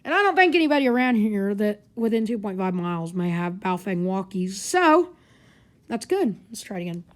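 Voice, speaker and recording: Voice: medium pitch, big pitch swings, moderate pace. A person giving a casual, rambling hands-on gadget review in an informal way. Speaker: product reviewer. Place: carpeted home living room.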